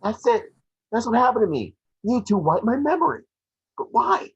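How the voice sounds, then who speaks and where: A man voicing a small, wisecracking, excitable detective creature at a script table read. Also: very high voice, normal speed, slightly rough, dry recording, dull audio, exaggerated voice. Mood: excited, alarmed.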